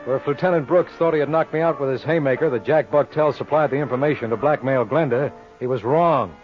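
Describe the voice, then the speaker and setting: A cocky, sardonic investigator played by a man rattles along at a quick clip in an old radio crime play. His talk is glib and needling, and the sound is dull and lo-fi. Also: mid-range voice, faint music behind, close to an everyday voice. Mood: wry narration. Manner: glib, sardonic, hard-boiled. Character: brash wisecracking male private lawyer-sleuth, cocky and needling. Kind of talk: 1950s radio crime drama acting.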